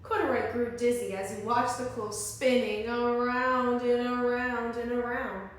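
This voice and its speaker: A woman teacher reads a storybook to young children in a fairly high, expressive voice, often stopping to ask them questions. The room is very reverberant and the mic sounds distant, with faint noise behind her. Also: a little slow.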